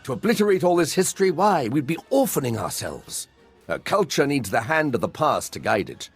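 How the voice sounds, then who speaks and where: An actor playing a giddy, scholarly tomb-raiding archaeologist in a video game, effusive and theatrical in manner. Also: male voice, medium pitch, big pitch swings, a little fast, breathy edge. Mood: earnest pleading. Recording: music behind the voice.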